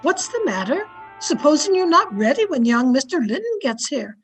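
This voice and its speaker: Woman acting a high-voiced, fretful servant woman. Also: big pitch swings, normal speed, faint music behind. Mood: scolding. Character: Ellen, fretful, blunt household servant woman narrating. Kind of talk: old-time radio drama acting and narration.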